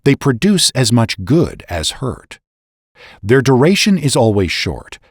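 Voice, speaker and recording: A man's voice: mid-range voice, big pitch swings, unhurried, husky, no room sound, clean studio sound. A man reading scripted historical narration in a solemn, oratorical way with emphatic phrasing. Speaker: podcast narrator and reader.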